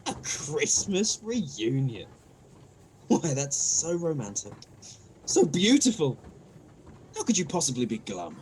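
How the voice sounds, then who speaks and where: A man acting a cheerful, naive, excitable nephew in a comic radio play, gushing and exclamatory. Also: fairly high pitch, takes it slowly, long pauses, a little hiss or hum, full character voice, low-quality recording.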